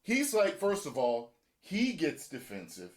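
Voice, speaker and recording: A man hosting a casual reaction chat, talking in an animated way and acting out other people's lines. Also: a little slow. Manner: animated, acts out quotes with shifting pitch. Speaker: show host.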